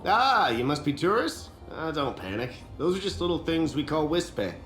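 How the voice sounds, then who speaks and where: An actor playing a gentle, helpful local in an anime game, explaining things reassuringly. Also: male voice, a little high, very animated, moderate pace. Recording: roomy game audio with light music.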